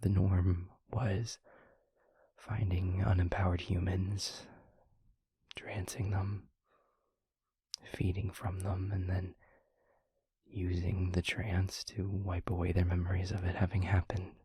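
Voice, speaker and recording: Man playing a hushed, husky, low-voiced brooding vampire. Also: flat delivery, no room sound, heavily acted. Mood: calm, explanatory. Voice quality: breathy, husky half-whisper with gravelly fry. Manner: halting, hushed, earnest, with long pauses. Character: brooding, gentle male vampire boyfriend confiding his past. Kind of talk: intimate audio roleplay, soft confessional monologue.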